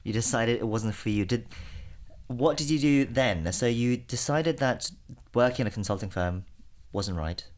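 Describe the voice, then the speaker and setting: A man hosting a radio interview in a measured way, with frequent fillers and short acknowledgements. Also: mid-range voice.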